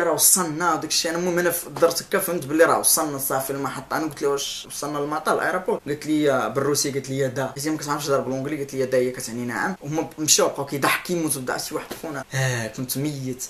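Young male vlogger, Moroccan accent, very fast and animated, faint hiss, camera mic in a room. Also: medium pitch. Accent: Moroccan Arabic. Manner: animated, gestures constantly, laughs while telling. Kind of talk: casual storytelling vlog. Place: indoor room, seated on a couch. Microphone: camera mic, close framing.

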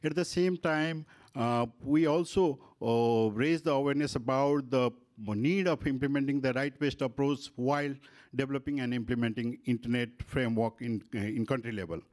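Halting Nepali man moderating a panel. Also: mid-range voice, darkish tone. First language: not English. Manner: halting, frequent fillers and restarts. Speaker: workshop moderator. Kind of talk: conference panel presentation. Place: conference room with panel table. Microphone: handheld microphone.

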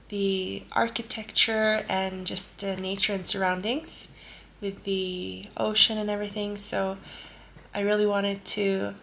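A woman in her thirties with a Norwegian accent, answering viewer questions calmly while lying in bed, recorded by a close camera in a bedroom. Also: mid-range voice, gravelly, a little hiss or hum. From Norway. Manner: calm and gentle, lying in bed while talking. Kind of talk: soft-spoken casual Q&A. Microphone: camera close at face level.

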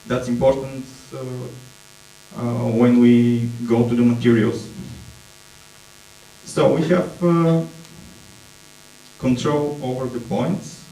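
A Bulgarian man in his thirties giving a conference presentation in English, hesitant and pausing to search for words. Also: mid-range voice, steady tone, mellow. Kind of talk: slow conference talk with slides. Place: dark auditorium stage. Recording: echoey hall, distant mic, mains hum.

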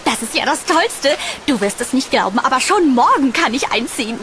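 A woman playing a young student in a horror audio drama, speaking in an expressive, chatty way. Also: high-pitched, moderate pace, slight background noise. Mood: excited, cheerful. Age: young adult.

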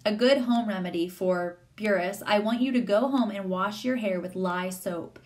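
A woman's voice: mid-range voice, normal speed, darkish tone, close to an everyday voice. A woman voicing a prim, nervous female schoolteacher in a story, proper and polite in her speech. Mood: prim, uneasy.

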